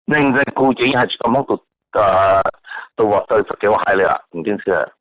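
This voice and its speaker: A man's voice: fairly low pitch, lively intonation, brisk, a bit bright, no room sound. A man talking casually as a participant on a conference call. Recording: dull lo-fi telephone line.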